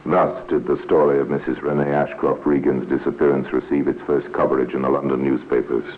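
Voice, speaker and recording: A man narrating a 1950s radio crime drama in a grave, measured, deliberate manner. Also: rumbling low, little pitch movement. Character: solemn storyteller narrating a police case. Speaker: narrator and host. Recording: tinny, noisy 1950s radio recording.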